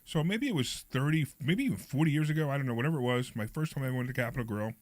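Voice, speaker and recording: Hoarse fast-talking man hosting a show. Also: mid-range voice, no echo. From Pennsylvania. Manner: rambling, animated storyteller. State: hoarse, froggy voice. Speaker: radio show host. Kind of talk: casual storytelling chat.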